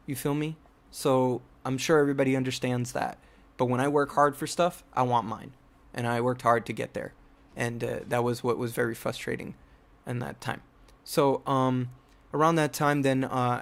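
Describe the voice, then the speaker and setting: Young guy from jersey rambling on his channel, lots of uh and um, pretty monotone. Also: medium pitch. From United States (New Jersey). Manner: rambling, many fillers and restarts. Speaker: filmmaker and channel host. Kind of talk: casual personal storytelling.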